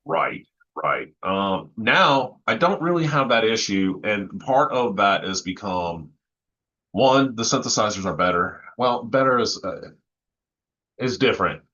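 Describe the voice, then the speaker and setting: A man with a low voice and a bright, forceful edge talks through technical details in a casual way. He often halts and circles back as he thinks aloud. The audio is dull and lo-fi. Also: expressive, unhurried. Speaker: panelist in music technology discussion.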